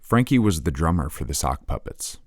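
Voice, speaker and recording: An actor playing an earnest, deferential podcast host and devoted fan, sometimes hesitant, in a scripted mock interview. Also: male voice, a bass voice, big pitch swings, fairly quick, darkish tone, exaggerated voice. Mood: earnest narration.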